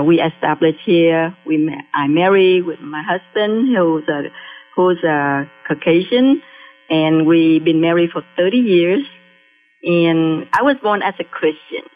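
A middle-aged woman from Vietnam with a Vietnamese accent, a guest sharing her faith testimony in halting speech that restarts phrases. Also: low voice. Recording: tinny phone line with faint music.

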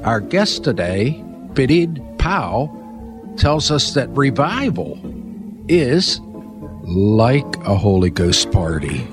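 A man's voice: medium pitch, very animated, takes it slowly, music in the background. A man hosting a casual interview, chatty and chuckling as he introduces and questions his guest. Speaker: host.

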